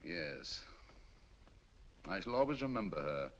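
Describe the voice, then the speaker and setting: Dry precise detective, lively intonation, lo-fi old film sound. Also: male voice, lowish voice, measured pace, exaggerated voice. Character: cool, precise male detective, intellectual and dry. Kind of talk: 1940s film acting.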